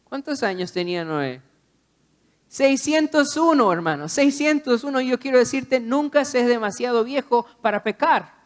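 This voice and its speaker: A man's voice: very high-pitched, expressive, normal speed. A man preaching a sermon, exhorting the listener with repeated phrases and rhetorical questions.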